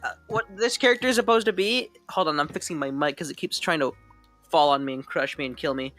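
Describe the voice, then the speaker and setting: A man from Mexico chats as a guest with a bright, mid-pitched voice, stumbling and restarting as he hunts for words. His voice sounds dry and close, with faint music behind it. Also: no echo. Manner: halting, stumbles over words. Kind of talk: casual chat.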